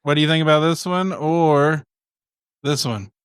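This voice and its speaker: A man's voice: fairly high pitch, steady tone, measured pace, clean tone, no echo, clean studio sound. A man co-hosting a comedy podcast, talking in casual, offhand chat with friends.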